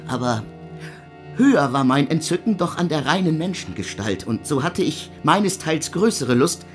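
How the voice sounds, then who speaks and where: Ein Mann spielt einen gequälten Komponisten, der in einem Hörspiel in altertümlichem Deutsch feierlich und stockend seine Sünden beichtet, mit heiserer Stimme. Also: male voice, on the high side, very animated, soft background music. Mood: confessional, wistful. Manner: solemn, archaic, halting confession. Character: tormented composer confessing his sins in archaic German. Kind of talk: radio play monologue.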